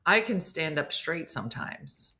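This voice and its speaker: A woman's voice: sits low, unhurried. A middle-aged woman hosting a comedy show in casual, teasing banter, often asking playful questions. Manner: animated, teasing, inquisitive. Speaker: comedy morning show host.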